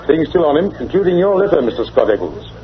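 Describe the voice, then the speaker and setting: Businesslike police inspector, muffled sound. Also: male voice, a little high, very animated, fast talker. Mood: brisk, factual. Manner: matter-of-fact, official. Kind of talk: mid-century radio drama acting. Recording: dull vintage radio recording.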